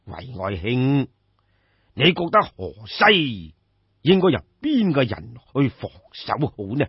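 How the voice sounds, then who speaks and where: A man with a Cantonese accent voicing a weary, authoritative old king of ancient China, slow and pondering, in an audio story. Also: medium pitch, huge pitch swings, breathy edge, no room sound, highs cut off, heavily acted. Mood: deliberating.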